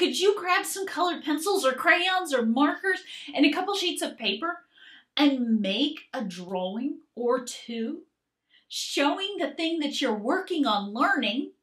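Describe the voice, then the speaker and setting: A woman art teacher reading aloud and talking to young children in an expressive, gentle, encouraging manner. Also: sits high, measured pace. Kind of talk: expressive children's storybook read-aloud and lesson.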